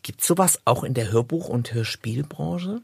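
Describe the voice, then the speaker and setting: Husky, slightly creaky male podcast host. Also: medium pitch, expressive, normal speed, darkish tone, dry recording. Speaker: podcast host interviewing two guests. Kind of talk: casual interview conversation.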